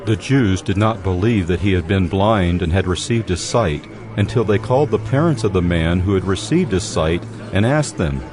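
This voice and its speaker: Casting: male narrator, lowish voice, measured scripture storytelling with clear music underneath. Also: expressive. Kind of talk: scripted audio Bible narration.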